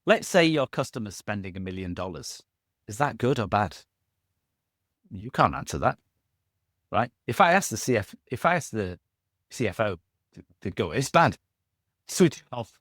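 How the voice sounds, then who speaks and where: Middle-aged man, low-pitched, loose and jokey guest, dry recording. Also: very animated, normal speed, long pauses, a bit bright, no room sound. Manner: rambling, self-correcting, jokey asides. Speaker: podcast guest. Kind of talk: casual conversational interview.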